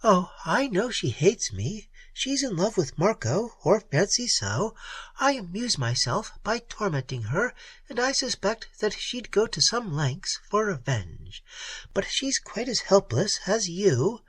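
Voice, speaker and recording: A man reading aloud and voicing a cool, mocking female space pirate queen in a softer, higher voice. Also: big pitch swings, unhurried, breathy edge, no echo, exaggerated voice. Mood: coolly taunting. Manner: sweet, taunting, softly menacing.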